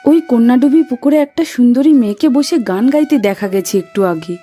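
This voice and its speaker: A woman's voice: on the high side, normal speed, dark tone, breathy edge. A woman playing a devoted poor village woman of thirty in a cartoon drama, urgent and entreating. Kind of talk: Bengali cartoon drama acting. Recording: music under the voice.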